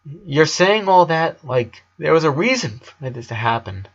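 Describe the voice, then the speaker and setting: A man's voice: a little high, lively intonation, a little slow, lo-fi sound, voice pushed up. A man voicing a troubled eleven-year-old boy in a story reading, hesitant and vulnerable. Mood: bitter, doubting.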